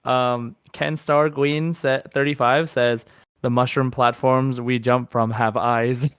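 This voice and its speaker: Laid back guy hosting a podcast, smooth clear voice, medium pitch, reading out comments, dry sound. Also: flat delivery, takes it slowly, fairly bright tone, dry recording. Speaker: podcast host. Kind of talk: casual chat, reading out listener comments.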